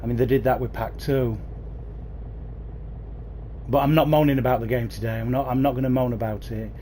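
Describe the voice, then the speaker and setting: A man's voice: medium pitch, steady tone, brisk, dark tone, a little hiss or hum. A man chatting enthusiastically to viewers by name as he streams a bus game, speaking into a headset mic. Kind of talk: casual livestream commentary. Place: at home playing on a console. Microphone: new gaming headset.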